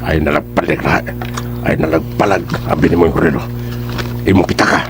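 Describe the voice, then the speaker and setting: A low, gruff street robber gives mocking orders in a Cebuano accent. Loud music runs under this radio drama villain. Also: male voice, steady tone, brisk. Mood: threatening. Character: menacing, bossy male street hold-up robber.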